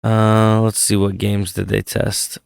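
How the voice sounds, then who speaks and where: Casual vlog host, male, lilt, low and gravelly, voice fading from a cold, dry close sound. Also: completely flat delivery, slow, no room sound. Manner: laid-back, rambling, thinking aloud. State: losing his voice from a head cold. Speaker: tech channel host. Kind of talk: casual commentary on hardware.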